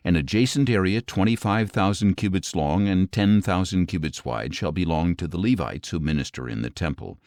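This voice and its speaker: A man narrating an audio Bible, reading scripture in a measured, solemn, clearly phrased way. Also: low-pitched, a little mellow, no echo, studio quality.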